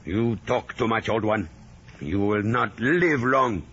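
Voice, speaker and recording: An actor playing a wary, surly guerrilla leader worn down by being hunted, in vintage radio drama style. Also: male voice, on the low side, expressive, slow, fairly bright tone, breathy edge, heavily acted. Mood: contemptuous. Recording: muffled old radio drama recording.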